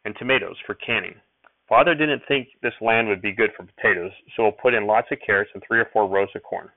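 Man voicing a quiet, gentle pioneer mother. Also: on the low side, fairly quick, gravelly, no echo. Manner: quiet, soft, polite. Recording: tinny lo-fi radio recording.

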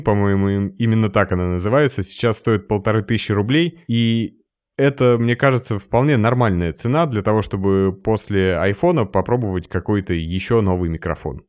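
Man, deep voice, dry close sound, practical explainer, sound engineer guest. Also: moderate pace, smooth, no echo. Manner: practical, explanatory, lively emphasis. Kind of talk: explaining podcast gear in conversation.

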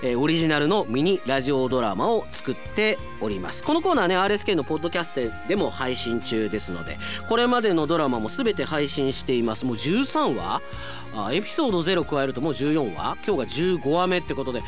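A man's voice: a little high, very animated, fast, over music. A man hosting a radio segment, chatting and reading out listener mail as an announcer.

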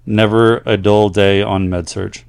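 A man with a fairly low voice co-hosts a casual podcast. He speaks conversationally with some hesitant pauses, whether reading letters or commenting as a nurse. The recording is dry, with little room sound. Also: flat delivery, vocal fry, a little mellow, clean tone, no room sound.

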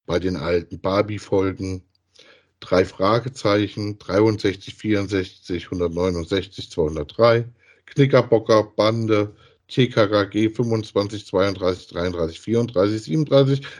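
A man's voice: fairly low pitch, darkish tone. A man co-hosting a podcast, speaking in a casual, conversational style. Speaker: podcast co-host. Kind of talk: casual chat about audio drama voice actors.

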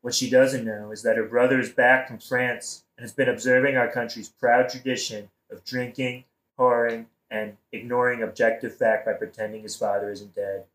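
Guy playing smooth scheming king, casual modern talk, satirical audio drama, echoey room. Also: medium pitch, little pitch movement, normal speed, hardly any pauses, fairly bright tone, close to an everyday voice. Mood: scheming, exasperated. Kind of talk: satirical modern-language audio play acting. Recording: roomy, echoing audio-play recording.

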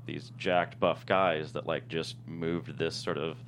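Very deep-voiced man, halting, over humming noise. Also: steady tone, a bit bright. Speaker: screenwriter guest being interviewed. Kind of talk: casual conversational interview. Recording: noisy room with hum.

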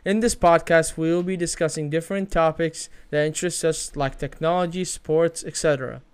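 A man's voice: fairly high pitch, clean tone. A man from Iraq hosting a podcast, addressing listeners in an orderly way and casually prompting his co-host. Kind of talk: podcast introduction and casual interviewing.

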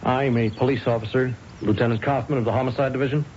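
An actor playing a brisk, official homicide lieutenant in an old radio drama. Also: male voice, mid-range voice, fairly even intonation, darkish tone, close to an everyday voice. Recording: muffled old radio, faint noise.

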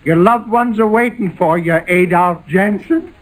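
An actor playing an earnest, bumbling visitor in 1940s radio comedy, speaking in an emphatic, paternal way. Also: male voice, high-pitched, unhurried, rough recording. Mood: solemn, urging. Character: earnest, bumbling male visitor breaking news solemnly. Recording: old radio recording, faint noise.

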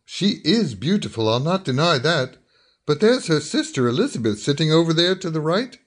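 Male actor as young gentleman, amiable, eager, radio drama, raised pitch, animated melody. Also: moderate pace. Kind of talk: old-fashioned radio drama acting.

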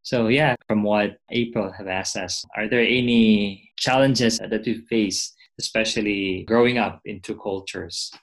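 Moderator, man, lowish gravelly voice, polite and hesitant. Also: steady tone, unhurried, hardly any pauses, rough recording. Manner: polite, hesitant, with thanks and follow-up questions. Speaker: panel moderator. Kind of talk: moderating a panel discussion.

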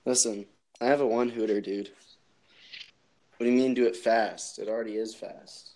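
A man's voice: on the low side, fairly even intonation, moderate pace, darkish tone. A young man chatting casually on a phone call from home at night, rambling with lots of fillers and laughing easily. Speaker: podcast co-host. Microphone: phone on speaker.

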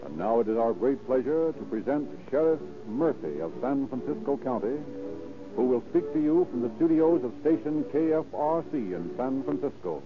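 A man announces in a formal, emphatic 1930s radio manner. His voice is medium in pitch, on a muffled old recording with music behind it. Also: sing-song, moderate pace. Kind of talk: 1930s radio advertising and scripted narration.

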